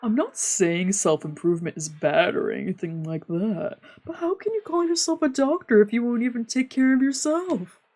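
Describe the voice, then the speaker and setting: A woman voicing a fussy, excitable, sweet-toothed young man in a visual novel, with expressive, scolding and gushing delivery. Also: a little high, moderate pace. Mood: concerned. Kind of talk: live dubbing of a visual novel.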